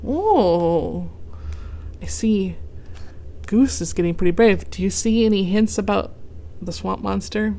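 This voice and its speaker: A young adult woman reading a picture book aloud to children, gently pausing to ask the listeners questions. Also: medium pitch. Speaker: children's story reader. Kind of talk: read-aloud storytime for kids.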